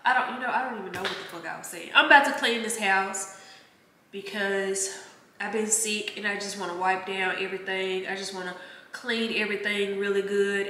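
A woman's voice: medium pitch, bright, forceful tone, slight background noise. Sniffly, chatty woman in an echoey kitchen. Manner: chatty, slangy, swears freely. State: sniffly with a cold. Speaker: vlogger. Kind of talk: casual chat to camera. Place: home kitchen, indoors. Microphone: camera on a counter, mid-distance.

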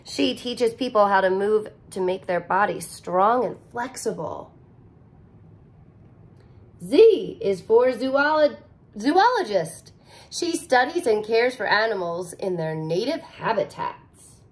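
Woman teacher reading a kids' book real slow, bouncy sing-song voice. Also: mid-range voice. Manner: expressive, sing-song storybook reading with soft asides. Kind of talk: picture book read-aloud for young children. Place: living room with couch, indoors.

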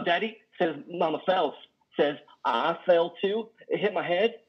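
A man in a play reading, acting a worn-out trucker who relives childhood trauma like a frightened boy, halting and pleading. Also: on the high side, breathy edge. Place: living room on a sofa. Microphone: headset on a video call. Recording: muffled video-call audio.

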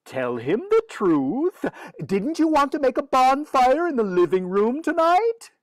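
A woman's voice: high-pitched, very animated, unhurried, no room sound. A woman playing an exasperated, easily flustered father in an old-time radio comedy.

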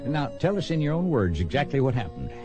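Male actor for orderly radio-drama judge in a western: expressive delivery, faint music behind. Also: mid-range voice, quick pace, close to an everyday voice. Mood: official.

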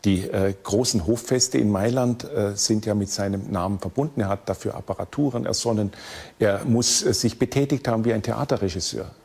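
A man explaining as an expert in an unscripted documentary interview, with hesitant, measured delivery. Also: fairly low pitch, vocal fry, darkish tone, slightly rough. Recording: long hall-like reverb.

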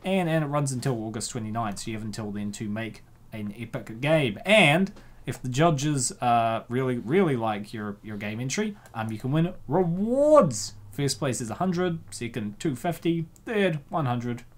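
A man's voice: medium pitch, lively intonation, normal speed. A man hosting casual comic commentary, jokey and given to exaggerated reactions. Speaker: host.

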